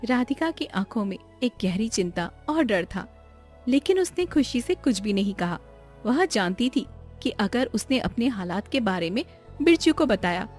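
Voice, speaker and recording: A woman narrating a scripted story. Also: a little high.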